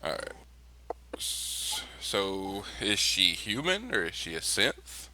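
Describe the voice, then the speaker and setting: A man talking casually in character as a player in a tabletop role-playing game, halting and repeating himself. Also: sits low, sing-song, noisy background.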